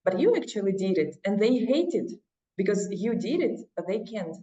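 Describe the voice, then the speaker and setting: Woman, Russian accent, mellow low voice, earnest and encouraging, echoey far-off dull recording. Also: big pitch swings, normal speed. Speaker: guest. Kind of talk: casual interview talk. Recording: echoey, distant, dull lo-fi sound.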